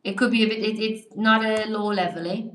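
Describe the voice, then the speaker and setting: A woman's voice: medium pitch, fairly even intonation, fairly quick, fairly bright tone. A woman teaching English on a live stream, reading out and answering viewers' comments in an encouraging, chatty way. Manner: chatty, encouraging, reacts to chat while typing. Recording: echoey room.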